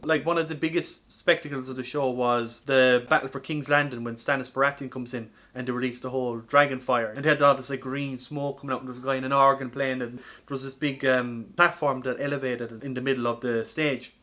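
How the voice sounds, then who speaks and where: A man hosting a casual comedy podcast, talking in an animated, teasing way with some swearing. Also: mid-range voice, brisk, hardly any pauses. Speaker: podcast host.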